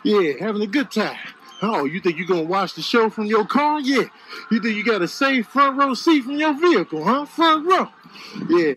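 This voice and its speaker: A man voicing cocky, indignant animals in a comedic voice-over, with husky, streetwise, exasperated talk. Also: high voice, sing-song, normal speed, fairly bright tone, slight background noise, rough recording. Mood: taunting. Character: cocky, indignant trash-talking male animals.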